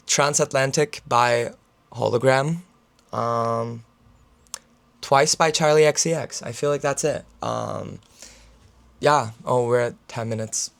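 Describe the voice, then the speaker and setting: Raspy, gravelly young man rambling. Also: mid-range voice, a bit bright. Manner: filler-heavy, talks with his hands. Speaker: student vlogger. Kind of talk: casual rambling vlog chat.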